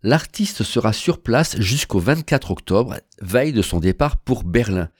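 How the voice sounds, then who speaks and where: A man hosting a radio interview, asking questions in a formal, measured way. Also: fairly low pitch, animated, a little mellow, breathy edge, dry recording, studio quality. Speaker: radio host.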